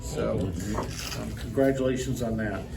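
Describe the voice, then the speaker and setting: A man speaking as a host, giving an informal introduction in a halting, polite way. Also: lowish voice, creaky. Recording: distant mic with background noise.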